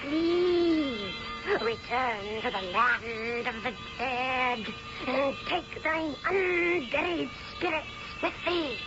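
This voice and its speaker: An actress playing a hundred-year-old sorceress in a radio drama, chanting archaic commands imperiously. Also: female voice, sits high, lively intonation, drawn-out words, exaggerated voice. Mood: commanding incantation. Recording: dull old radio recording with loud music.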